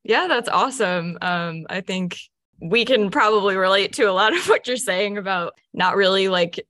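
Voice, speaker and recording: A woman's voice: mid-range voice, moderate pace, fairly bright tone, no echo, clean studio sound. A woman co-hosting a podcast, encouraging her guest in casual chat. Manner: encouraging, friendly. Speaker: podcast co-host.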